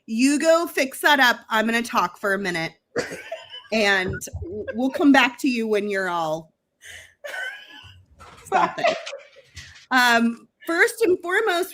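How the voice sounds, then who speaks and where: A woman with a fairly high voice co-hosts a craft livestream from her home. She teases her partner with disbelieving questions, then runs through a shop deal in a brisk sales manner. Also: fairly bright tone, slight background noise. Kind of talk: casual chat and shop promotion.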